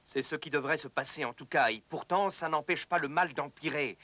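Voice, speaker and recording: Earnest cartoon physician-researcher, bright, forward voice on the higher side, measured, light music behind. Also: male voice, no echo. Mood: explaining, thoughtful. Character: earnest male military doctor and researcher, grave, thoughtful. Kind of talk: French-dubbed educational cartoon acting. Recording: music under the voice.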